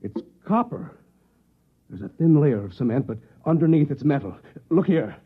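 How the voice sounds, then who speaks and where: An actor playing an anxious, suspicious husband who sounds edgy and incredulous, in an old-time radio drama style. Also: male voice, a little high, very animated, moderate pace, background noise. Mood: alarmed, urgent. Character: anxious, suspicious office husband growing alarmed at reality. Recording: muffled, narrow-band vintage radio recording.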